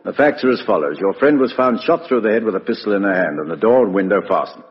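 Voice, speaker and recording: An actor playing a brisk, blunt British police inspector in a 1950s radio drama. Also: male voice, lowish voice, expressive, close to an everyday voice. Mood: brisk, probing. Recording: muffled vintage radio broadcast, reverberant studio.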